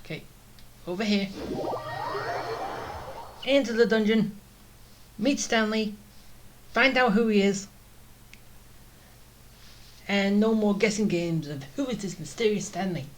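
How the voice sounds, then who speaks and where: A woman's voice: mid-range voice, slow, long pauses. A woman giving casual let's play commentary, musing to herself with a hesitant delivery. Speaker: gaming streamer. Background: game music.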